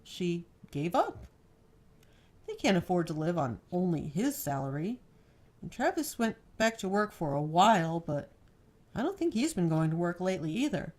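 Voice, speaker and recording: Lady reading worried but playful young wife, audiobook novel, earnest n emphatic, lowish voice. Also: very animated, normal speed, darkish tone. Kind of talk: audiobook character dialogue.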